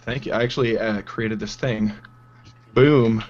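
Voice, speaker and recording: A man's voice: lowish voice, fairly even intonation, unhurried, muffled recording. A man playing in a tabletop game over a video call, chatting casually, self-deprecating and flustered when his tech fails. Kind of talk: casual chat on a game show.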